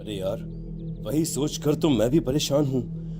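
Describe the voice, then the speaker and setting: A man's voice: mid-range voice, unhurried, music in the background, lightly acted. A man playing a worried young friend in a cartoon story. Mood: troubled.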